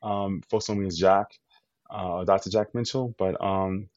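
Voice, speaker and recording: An upbeat man co-hosting a podcast, talking in a loose, rambling way with lots of "um"s and false starts. His voice sits on the low side. The recording is dull and lo-fi, with a very dry, close sound. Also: fairly even intonation, moderate pace, a little mellow, dry recording. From US East Coast. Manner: enthusiastic, rambling with many fillers and restarts. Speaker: podcast co-host. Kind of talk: casual podcast chat.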